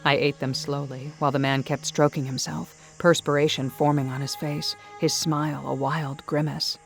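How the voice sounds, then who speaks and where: Gravelly woman narrating over music. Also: low voice, flat delivery, normal speed. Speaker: audiobook-style narrator. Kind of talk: dramatic first-person scripted narration. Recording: music behind the voice.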